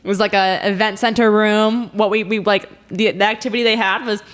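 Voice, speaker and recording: A woman in her twenties hosting a podcast in casual, rambling chat, full of "like" and quick asides. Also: medium pitch, steady tone. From Wisconsin, USA. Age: 20s. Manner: bubbly, rambling, heavy use of "like", frequent laughter. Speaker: podcast host.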